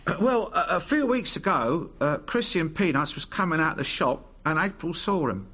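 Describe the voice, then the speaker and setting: An actor playing a hesitant, plain-spoken working-class London defendant, halting and slangy, in a British radio drama. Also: male voice, on the high side, lively intonation, fairly bright tone, husky. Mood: reluctant, halting.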